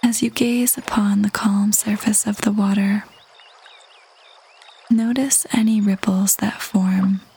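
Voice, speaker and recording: A woman leading a guided meditation in a soft, breathy half-whisper, gentle and calm with long pauses. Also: medium pitch, slight background noise. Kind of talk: guided meditation, slow soothing narration. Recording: voice over prominent background music. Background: meditation music.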